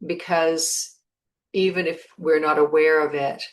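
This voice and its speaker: A woman leading a guided meditation in a measured manner, pausing between short phrases. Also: low-pitched, fairly even intonation.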